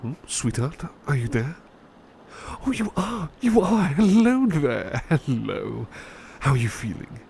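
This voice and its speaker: A man playing a devoted, humble butler in an ASMR roleplay, speaking softly and tenderly with halting, repeated words. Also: a little high, very animated, vocal fry, slight background noise, exaggerated voice. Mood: nervous, excited. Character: devoted, gentle, humble male butler sweetheart. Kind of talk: ASMR roleplay, personal attention.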